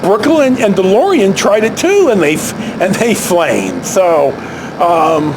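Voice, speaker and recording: A man tells stories casually, in a halting, rambling way with asides and self-corrections. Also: sits high. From San Francisco Bay Area, United States. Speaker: vlog host telling personal stories. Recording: clear steady background noise.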